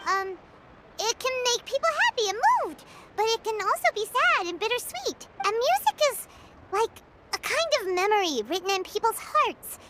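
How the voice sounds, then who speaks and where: A woman voicing a tiny, chatty, excitable childlike fairy companion in a video game, squeaky and fussy. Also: normal speed, heavy background noise. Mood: thoughtful. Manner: speaks of herself in third person. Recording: music behind the voice.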